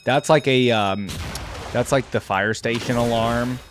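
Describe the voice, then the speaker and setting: A man's voice: mid-range voice, measured pace, faint music behind. A man giving casual, off-the-cuff reaction commentary, thinking aloud in a chatty way with informal slang. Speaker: host of a reaction video.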